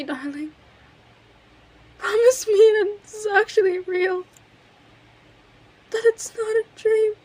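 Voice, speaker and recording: A woman playing an obsessive, lovesick kidnapper in her thirties in an intimate, emotional audio roleplay monologue. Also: very high-pitched. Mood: tearful, overwhelmed. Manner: clingy, emotional, swings between breathy whispers and outbursts.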